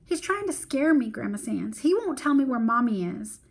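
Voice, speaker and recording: A woman's voice: on the high side, big pitch swings, normal speed, voice pushed up. A woman voicing a bossy, exasperated little girl in a storybook read-aloud, dramatic and demanding, scolding like her mother. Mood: screaming, upset.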